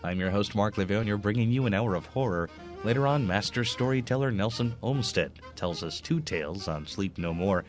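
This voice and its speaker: A man's voice: on the low side, animated, moderate pace, soft background music. A man presenting a radio program in scripted narration as its host.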